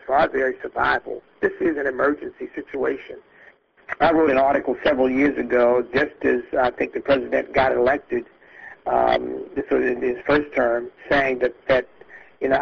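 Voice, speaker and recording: Male political speaker, very gravelly and raspy, earnest and emphatic, halting delivery, muffled conference-line sound. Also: mid-range voice. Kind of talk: impassioned political speech on a conference call. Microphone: phone conference line. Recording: muffled lo-fi conference call audio.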